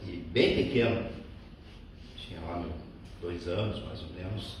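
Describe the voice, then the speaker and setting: A man with a southern Brazilian accent from Rio Grande do Sul gives personal testimony to a group in measured short phrases with pauses. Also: deep voice. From Santa Maria, southern Brazil. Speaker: spiritist medium, guest speaker. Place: echoey meeting room with a group. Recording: very echoey room, distant mic, clear noise.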